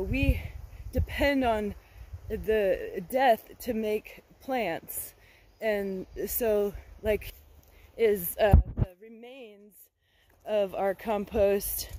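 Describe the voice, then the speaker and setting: A woman's voice: mid-range voice, mellow, low-quality recording. A middle-aged woman with an American accent gives a casual, meandering garden tour, speaking hesitantly into a handheld phone outdoors. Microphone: handheld phone at arm's length. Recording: clear outdoor background noise.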